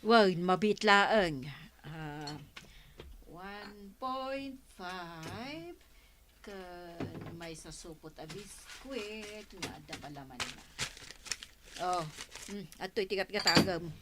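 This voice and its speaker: A woman's voice: lowish voice, animated, extremely slow, noisy background. A woman playing a nosy, sceptical village storekeeper in an Ilocano radio comedy-drama, gossipy and exclamatory, with an Ilocano accent.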